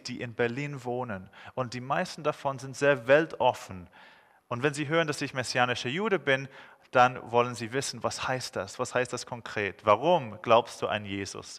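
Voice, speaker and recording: A man in his thirties with an English accent delivers a sermon in a friendly, joking way, pausing often to hesitate. His voice is clear and forceful. It rings out with a long reverb, as in a large hall. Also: mid-range voice. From England. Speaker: guest preacher.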